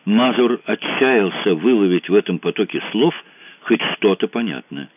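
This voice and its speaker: Brief: male audiobook narrator, measured, lowish voice, tinny dry sound. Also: expressive, dry recording. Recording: dry, narrow phone-like sound.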